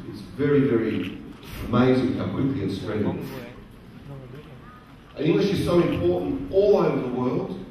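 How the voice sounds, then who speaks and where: A middle-aged man speaking to a live audience at a career event, using slow, simple, repeated phrasing and asking the crowd questions. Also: medium pitch, mellow. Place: large conference hall. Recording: very echoey hall, distant voice, faint noise.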